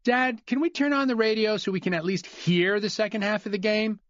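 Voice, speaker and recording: A man's voice: high voice, a little fast, no room sound, lo-fi sound, voice pushed up, close to an everyday voice. A man voicing an eager young boy in an audiobook.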